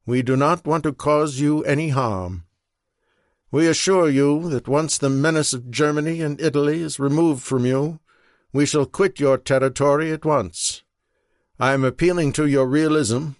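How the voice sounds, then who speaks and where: A man's voice: mid-range voice, no room sound, clean studio sound, voice pushed up, close to an everyday voice. A man doing a solemn wartime president. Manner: solemn, oratorical, measured.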